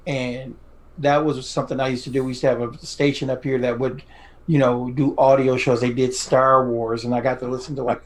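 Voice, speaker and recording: Man, podcast co-host, casual interviewer, rambling, complimentary, mid-range pitch. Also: rough recording. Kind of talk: casual chat.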